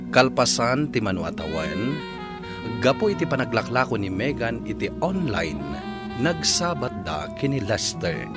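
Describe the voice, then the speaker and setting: A man narrating a radio drama from a script as announcer, with music behind him. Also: mid-range voice. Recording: loud music bed under voice.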